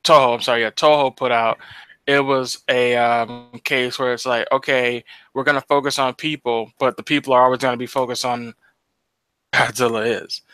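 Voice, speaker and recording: Bright, very clear-voiced American man. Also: mid-range voice, flat delivery, normal speed, no echo, faint music behind. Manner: explanatory, slangy, mild swearing. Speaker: podcast co-host. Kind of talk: casual discussion of movies.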